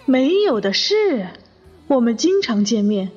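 Mature mother played by a woman, animated and husky, slightly dull, reverberant radio drama recording. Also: on the high side, a little slow, full character voice. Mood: friendly. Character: polite mature mother, sociable, gently scolding. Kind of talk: Chinese radio drama acting. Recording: muffled, long reverb, music underneath.

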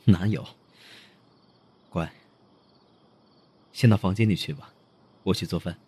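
A young man playing a cool, reserved but gently caring boyfriend in a romantic audio drama, terse and understated. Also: sits low, takes it slowly, full character voice. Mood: tender. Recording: clear music behind.

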